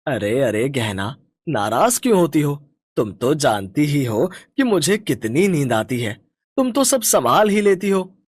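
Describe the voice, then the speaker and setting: A man voices a lazy young village husband who loves his sleep. His husky voice swings widely in pitch, complacent and coaxing, in a dry cartoon story recording. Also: big pitch swings, measured pace, no room sound, full character voice. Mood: placating. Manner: complacent, coaxing, swooping intonation. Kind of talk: animated moral story dialogue.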